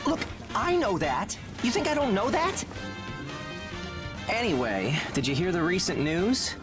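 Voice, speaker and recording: A man's voice: high voice, very animated, a little slow. A man playing a brash, friendly young fighter in a video game, chatty, teasing and boastful. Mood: casual, uneasy. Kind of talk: video game cutscene voice acting. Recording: music behind the voice.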